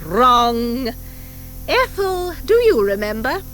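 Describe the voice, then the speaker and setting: A woman voicing a stern, formidable schoolmistress in an audiobook, speaking crisply, precisely and with command. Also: fairly high pitch, sing-song, takes it slowly, a bit bright, soft background music, voice pushed up, heavily acted. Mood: brisk, strict. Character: stern, formidable, brisk schoolmistress at a witches' academy. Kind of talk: audiobook character acting. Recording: 1984 audiobook from cassette.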